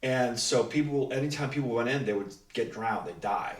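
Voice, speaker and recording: Male host, casual storyteller, acts out quotes, mid-pitched, echoey room with distant mic. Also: expressive, normal speed. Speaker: writer and director hosting a panel. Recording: roomy echo, distant mic.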